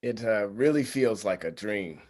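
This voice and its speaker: Man playing hesitant groom, very varied pitch. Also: lively intonation, unhurried, a little mellow. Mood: humbly grateful. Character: hesitant, agreeable groom, quietly unsure. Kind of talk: table read of a screenplay.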